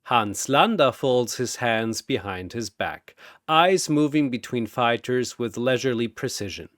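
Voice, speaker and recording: Deliberate, animated male storyteller. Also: mid-range voice, no echo, clean studio sound. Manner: theatrical, deliberate. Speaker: narrator. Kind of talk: dramatic scripted story narration.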